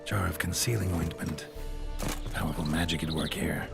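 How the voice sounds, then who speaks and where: Fantasy game hero: gruff monster hunter, bottomless pitch, gravel, terse deadpan sarcasm, loud music under him. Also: male voice, normal speed. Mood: musing. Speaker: player character in a fantasy video game. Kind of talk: video game voice acting.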